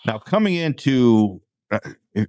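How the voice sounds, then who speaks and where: A man hosting a casual conversation, putting questions in a joking, halting way. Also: mid-range voice, very animated, a little mellow, no echo. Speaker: podcast host. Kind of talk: casual joking interview chat.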